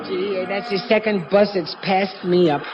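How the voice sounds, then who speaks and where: A man's voice: high voice, unhurried, dull audio, music in the background. An actor playing a good-natured, hapless husband and father in a 1940s radio sitcom, stammering and giggling as he talks. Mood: flustered. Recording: noisy 1940s radio, studio audience behind.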